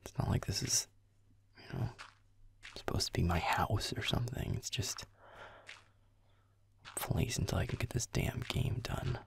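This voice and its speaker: A man plays a young male werewolf boyfriend in an intimate audio roleplay. His voice is very low and heavily fried, often sinking into a breathy near-whisper. He grumbles grudgingly and sarcastically, with plenty of swearing. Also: measured pace, long pauses, no echo, exaggerated voice. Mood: grudging, muttering.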